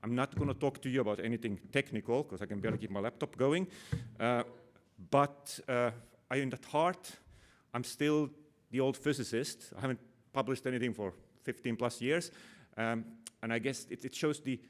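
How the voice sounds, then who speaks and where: A man's voice: medium pitch, moderate pace. A middle-aged man from Finland giving a conference talk, casual and self-deprecating, with many fillers and restarts. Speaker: conference speaker, operations manager. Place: conference room.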